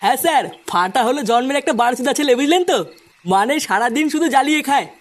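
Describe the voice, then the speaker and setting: A man's voice: extremely high pitch, expressive, very fast. A man voicing a scornful, foul-mouthed family member in a comic cartoon. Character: scornful, crude male relative of missing boy.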